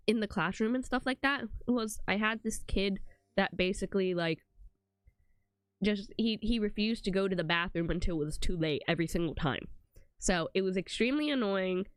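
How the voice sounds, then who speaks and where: A woman's voice: mid-range voice, no echo. A young woman with a congested voice chatting casually to her live stream, rambling with lots of "like" and "um" fillers. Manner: rambling, full of "like" and "um".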